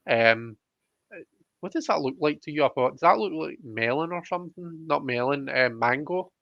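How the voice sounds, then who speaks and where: A man's voice: a little high, expressive, moderate pace, vocal fry, no echo, highs cut off. A man hosting a casual live card reading, chatting with a guest and viewers and thinking aloud in a hesitant, repetitive way.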